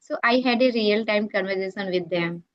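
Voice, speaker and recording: A woman's voice: mid-range voice, normal speed, dull audio. A woman with an Indian accent chatting casually in non-native English, often checking in with short tags. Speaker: YouTuber and online English teacher. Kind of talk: casual English practice conversation.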